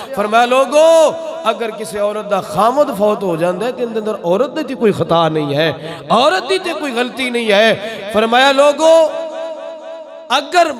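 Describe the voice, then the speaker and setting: High-pitched Punjabi preacher, man with crowd behind. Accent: Pakistani Punjabi. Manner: emphatic, rhetorical repetition and questions. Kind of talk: sermon.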